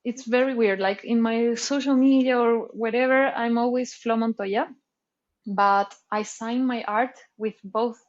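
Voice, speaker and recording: A woman's voice: on the high side, fairly even intonation, measured pace, dull audio. A woman from Chile, a guest in a casual interview, thinking aloud and searching for words. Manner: thoughtful, searching for words with many "like"s and restarts. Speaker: artist guest.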